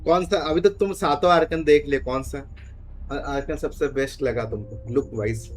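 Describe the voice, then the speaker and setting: A man's voice: on the high side, rapid-fire. A man with an Indian accent chatting casually as he livestreams a game. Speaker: live game streamer. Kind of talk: casual chat while gaming, Hindi mixed with English. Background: game music.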